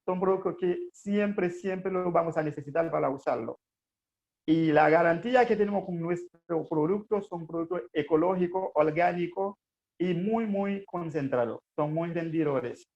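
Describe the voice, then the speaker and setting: Haitian man pitching a business, earnest and hesitant, raspy fry in a high voice, muffled recording. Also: moderate pace, a little mellow. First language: Haitian Creole. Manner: earnest, hesitant with frequent fillers. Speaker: network marketing presenter. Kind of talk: motivational business presentation.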